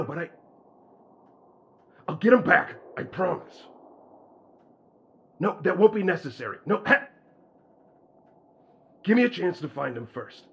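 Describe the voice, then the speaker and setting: A man playing a secretive, tense, placating man with a creaky voice in an audio drama, speaking as if on a phone call. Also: a little high, a bit bright, a little hiss or hum, heavily acted.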